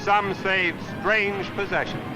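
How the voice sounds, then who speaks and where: A man's voice: sits high, steady tone, slow pace. An American man narrating a 1940s newsreel as commentator, in a dramatic, emphatic, declamatory style with clipped phrases. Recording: noisy 1940s newsreel soundtrack. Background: music, artillery fire and battle sounds.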